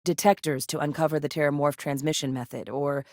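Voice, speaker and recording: An actress playing a seasoned, no-nonsense soldier turned xenobiologist in a space video game, composed, matter-of-fact and earnest. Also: female voice, low voice, level tone, a little fast, darkish tone, dry recording, studio quality, close to an everyday voice. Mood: serious, analytical. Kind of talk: scripted video game dialogue.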